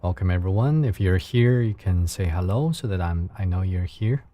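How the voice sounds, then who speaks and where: A man's voice: low-pitched, lively intonation, normal speed, warm tone, dry recording. A man from China with a Chinese accent and a soothing voice, chatting casually with viewers on his livestream in careful, searching second-language phrasing. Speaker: live streamer.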